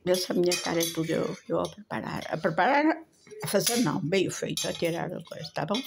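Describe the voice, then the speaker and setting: A woman's voice: on the low side, expressive, brisk, gravelly. An older woman with a European Portuguese accent, rambling casually and affectionately to viewers as a home vlogger, recorded on a handheld phone. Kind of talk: casual chat to viewers at the table. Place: home dining room, indoors. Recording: handheld phone, clinking cutlery noise. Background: cutlery and dishes clinking.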